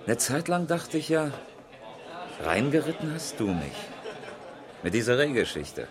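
A man playing a chatty rally driver in a radio crime drama, talking casually and a little haltingly to a friend. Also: medium pitch, very animated, a crowd in the background. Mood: uneasy, chatty.

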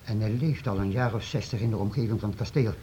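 An actor playing a timid, deferential castle servant in a period radio play, hesitant, evasive and stammering. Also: male voice, on the low side, fast talker, dark tone, natural voice. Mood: wary. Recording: dull, noisy old radio recording.

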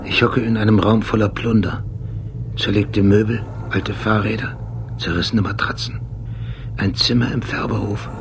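An actor playing an obsessive, brooding police detective who recounts memories precisely in short sentences, in a radio drama. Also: male voice, lowish voice, steady tone, moderate pace, background noise, lightly acted. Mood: brooding, remembering. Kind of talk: scripted radio drama monologue.

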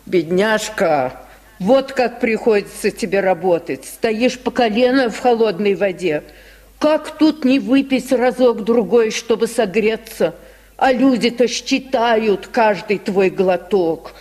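A man's voice: high-pitched, animated, slow, darkish tone, voice pushed up. A man voicing a poor old woman, sympathetic, gossipy and lamenting with indignation, in an old radio story recording. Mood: pitying. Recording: 1950s radio recording, long reverb.

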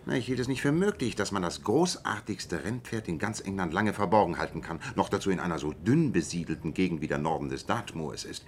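Radio-drama detective: low-pitched, analytical, articulate and sure of himself, over audible noise. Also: male voice, lively intonation, a little fast, hardly any pauses. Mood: assured, explanatory. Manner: precise, articulate, lecturing. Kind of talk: German radio play acting.

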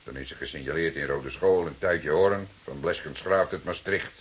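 An actor playing a calm, stubborn, dryly ironic police detective in a radio drama, speaking in a measured way. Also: male voice, rumbling low, breathy edge. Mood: dry, grave. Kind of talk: Dutch radio drama acting.

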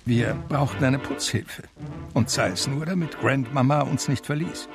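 An actor playing a reflective man who tells his life story in measured literary radio drama narration. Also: medium pitch, creaky, natural voice. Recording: music under the voice. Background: music.

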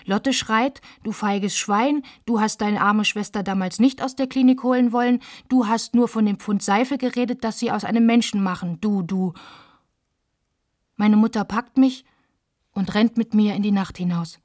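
A woman's voice: medium pitch, steady tone, moderate pace, no echo, studio quality, close to an everyday voice. A woman in a literary radio play, narrating as a girl who witnesses postwar family life, in plain short sentences. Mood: agitated. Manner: plain short sentences, quoting shouted insults.

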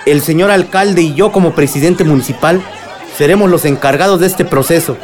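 A composed town president, played by an actor, with a fairly high male voice and a formal, measured delivery. It is radio drama, with a clear crowd around him. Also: natural voice. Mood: formal, calming. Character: composed, authoritative male municipal president addressing villagers. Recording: crowd noise around the speaker.

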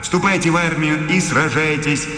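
A man's voice: a little high, normal speed, close to an everyday voice. Stern male professor actor in an echoing hall. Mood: fervent, solemn. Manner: formal, declamatory. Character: stern, authoritarian German philosophy professor and rector. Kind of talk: radio play acting. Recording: long hall reverb, music underneath.

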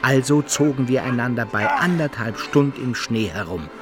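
An actor playing an adventurer who recounts his brawls and travels in measured, old-fashioned literary radio drama narration. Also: mid-range voice, lively intonation, over music, lightly acted. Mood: measured recounting.

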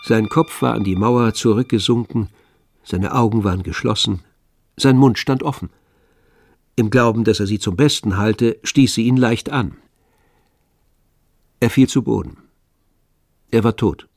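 A man narrating a tragic novel for a radio play in a measured, literary manner. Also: on the low side, vocal fry, a little mellow, no echo. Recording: dry studio with faint music.

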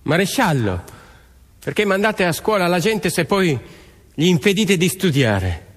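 An earnest peasant rebel in a stage monologue. His husky, fairly high voice is emphatic and declamatory, ringing with long reverb. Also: sing-song, a little slow, exaggerated voice. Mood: protesting. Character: earnest rebellious young peasant man urging action against injustice. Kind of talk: theatrical narrative monologue. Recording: echoey hall with long reverb.

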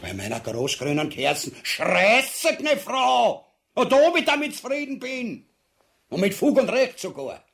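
A man's voice: sits high, big pitch swings, a little slow, gravelly, fairly bright tone, exaggerated voice. An actor with an Austrian accent playing a vain, crude ex-king and captain in a farcical radio play, pompous and bumbling, repeating himself. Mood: indignant boasting. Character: blustering, vain, crude, easily tempted ex-king and dragoon captain. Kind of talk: farcical radio play acting in broad dialect.

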